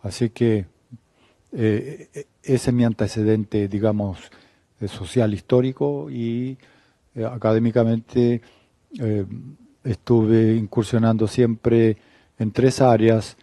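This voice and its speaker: An older man from Chile, a guest theologian answering interview questions in a measured, unhurried way with many pauses. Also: on the low side, steady tone, warm tone, dry recording.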